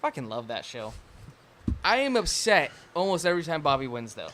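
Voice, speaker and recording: A man's voice: on the high side, sing-song, a little fast, a bit bright, a little hiss or hum. A young man co-hosting a podcast, chatting casually, swearing a lot and ranting in bursts. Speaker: podcast co-host. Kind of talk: casual chat.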